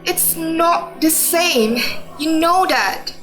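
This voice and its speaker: A woman's voice: very high voice, lively intonation, very slow, a bit bright, faint music behind, exaggerated voice, low-quality recording. A woman playing an earnest, probing, reproachful wife in a radio drama. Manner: earnest, insistent.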